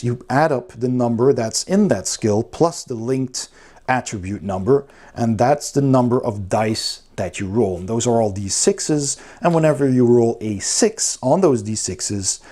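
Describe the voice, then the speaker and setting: A man's voice: medium pitch, mellow. A man giving a casual, unscripted review commentary, explaining things step by step as he goes through a rulebook. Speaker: host of a board game review channel.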